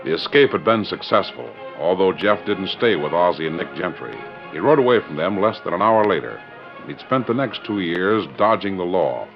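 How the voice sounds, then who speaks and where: A man's voice: low-pitched, expressive, normal speed, creaky, over music. A man narrating a western radio drama in scripted, dramatic 1940s style. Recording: dull 1940s radio recording.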